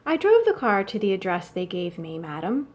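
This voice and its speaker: A woman's voice: mid-range voice, very animated, normal speed, darkish tone, close to an everyday voice. Woman reading as a prim male chauffeur. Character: formal, prim male chauffeur reporting to his employer.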